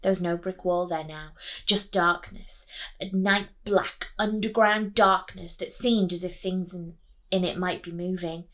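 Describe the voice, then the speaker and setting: Teacher lady doing storytime, takes it real slow, expressive w dramatic pauses. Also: fairly low pitch. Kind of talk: expressive children's audiobook-style reading.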